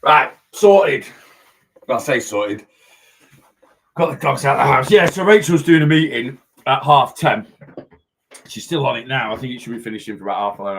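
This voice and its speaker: A man, a weight loss coach chatting casually in a vlog, a little hoarse from losing his voice and rambling as he goes. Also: medium pitch. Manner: chatty, rambling, self-interrupting.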